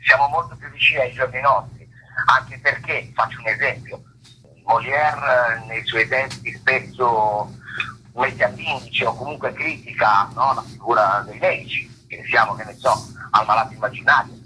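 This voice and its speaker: A man giving unscripted interview answers as an actor, haltingly searching for words and rephrasing himself. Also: mid-range voice, sharp tone, a little hiss or hum, low-quality recording. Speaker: stage actor, interview guest.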